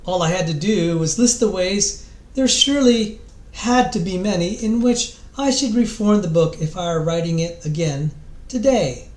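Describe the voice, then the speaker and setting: A man giving a mock-dramatic reading of a book introduction, theatrical and solemn, pausing grandly and sometimes repeating words. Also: high voice, animated, darkish tone, reverberant, poor audio.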